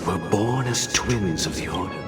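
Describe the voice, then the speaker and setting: An actor playing a solemn, calculating older master of a religious Order, speaking in a grave, paternal manner in a game. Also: male voice, sits low, moderate pace, close to an everyday voice. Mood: solemn, commanding. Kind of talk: dramatic game voice acting. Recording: echoing voice under loud music.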